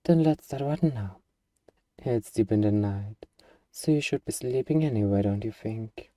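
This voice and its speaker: A man's voice: lowish voice, measured pace, dark tone, no echo, studio quality, far from the mic, lightly acted. A man playing an eager, bargaining demon who craves a cuddly pet, in a playfully earnest, wheedling ASMR roleplay monologue. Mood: soothing.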